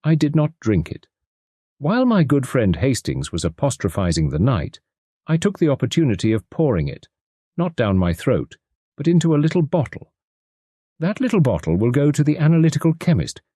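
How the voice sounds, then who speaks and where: Fussy, excitable middle-aged detective, a man putting him on, pitched high, precise phrasing, dry audiobook read. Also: moderate pace, husky, no echo. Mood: placid.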